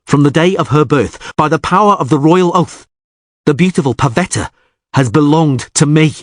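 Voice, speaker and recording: A man's voice: a little high, moderate pace, dry recording, voice pushed up, lightly acted. A man playing a solemn, claiming stranger. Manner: solemn, declaiming. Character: mysterious suitor man claiming a bride.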